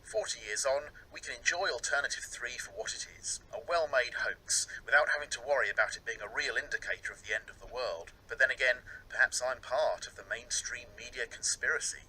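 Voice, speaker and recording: A man's voice: mid-range voice, a little fast, few pauses, bright, forceful tone, slight background noise, poor audio. A man narrating a radio feature as its reporter and presenter.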